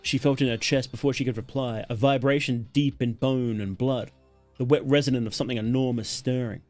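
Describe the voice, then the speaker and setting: A man's voice: mid-range voice, steady tone, darkish tone. Man solemnly narrating horror over music. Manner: deliberate, solemn, short weighted phrases. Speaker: livestreamer and narrator. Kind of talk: dramatic horror story narration.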